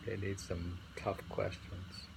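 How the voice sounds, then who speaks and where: Male poetry reader, gravelly and very unhurried, informal and unrehearsed, faint ambient noise behind. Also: low-pitched, little pitch movement. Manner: unrehearsed, halting reading. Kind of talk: poetry reading with informal discussion.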